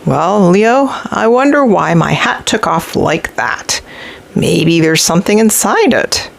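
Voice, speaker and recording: A woman's voice: on the low side, animated, slow pace, creaky, heavily acted. A woman voicing a kindly, tired old man who muses and coaxes as he makes up stories in a children's storybook. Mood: tired, friendly. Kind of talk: children's storybook read-aloud.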